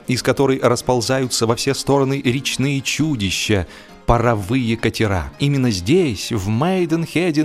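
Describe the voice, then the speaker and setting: Male storyteller, mid-pitched voice, expressive and theatrical delivery, deliberate pauses, music behind. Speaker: audiobook narrator. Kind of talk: dramatic humorous literary narration.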